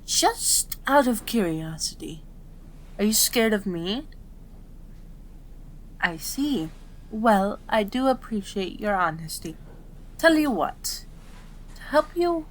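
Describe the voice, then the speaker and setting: Woman doing a sweet patient kitsune doctor lady, asmr roleplay, slow n careful, really lilting up and down. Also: mid-range voice, low-quality recording. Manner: soothing, polite, measured. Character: gentle nine-tailed kitsune doctor, female, patient and teasing.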